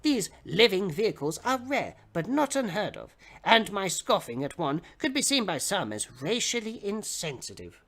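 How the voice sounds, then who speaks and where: A man with a bright, forceful voice delivers a dramatic story reading. His pitch swings widely from phrase to phrase, and he treats the narration with theatrical, mock-serious weight. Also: big pitch swings, normal speed, few pauses. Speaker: reader of comic fiction on a livestream. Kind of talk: dramatic audiobook-style reading.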